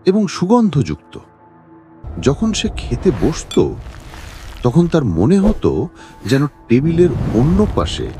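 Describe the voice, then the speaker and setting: A man narrating a horror cartoon story slowly and with suspense. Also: mid-range voice, mellow.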